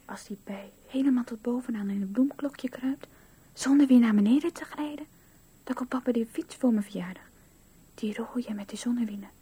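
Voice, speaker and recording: An actress voicing a dreamy, playful little girl telling her fantasies in a radio play, with a breathy voice. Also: fairly high pitch, moderate pace, dark tone. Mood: playful, chattering. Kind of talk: radio drama, storytelling and chanting rhyme.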